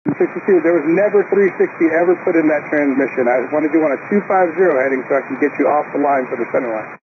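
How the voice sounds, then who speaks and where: A male air traffic controller rattles off instructions at a quick clip. His delivery is terse and firm, coming through a thin, phone-like radio channel with heavy static. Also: a little high. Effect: radio transmission. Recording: tinny, noisy aviation radio.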